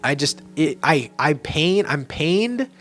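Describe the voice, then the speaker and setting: A man's voice: on the high side, very animated, takes it slowly, fairly bright tone, soft background music. A young man giving casual, opinionated movie-ranking commentary, enthusiastic and blunt, with frequent restarts and casual swearing. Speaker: movie reviewer.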